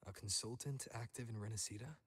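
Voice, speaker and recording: Husky, easygoing male game advisor. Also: fairly low pitch, fast talker. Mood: calm, helpful. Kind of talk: fantasy game voice acting. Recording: long reverberant hall echo.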